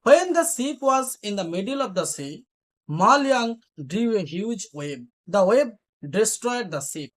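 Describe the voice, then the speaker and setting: Slow, expressive woman teaching through a story. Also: mid-range voice. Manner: deliberate, explanatory, repeats phrases for learners. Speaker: English teacher. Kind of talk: spoken English lesson retelling a story.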